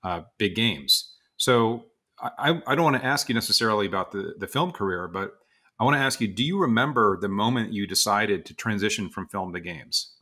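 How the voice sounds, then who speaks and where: Bright, low-voiced male interviewer. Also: expressive, a little fast. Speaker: podcast host, interviewer. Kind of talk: conversational interviewing.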